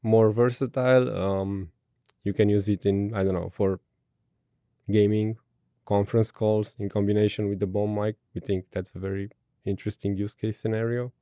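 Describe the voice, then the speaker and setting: A man with a Romanian accent speaks in a low, mellow voice. He gives brief, measured technical answers in an interview, recorded dry and close. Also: little pitch movement, clean tone, no room sound. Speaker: guest.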